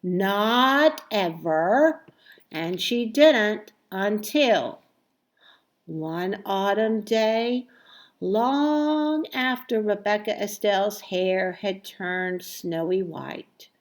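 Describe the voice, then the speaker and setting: A woman reading a children's story aloud expressively, with deliberate phrasing and emphatic stressed words. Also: mid-range voice, lively intonation. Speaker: storybook reader.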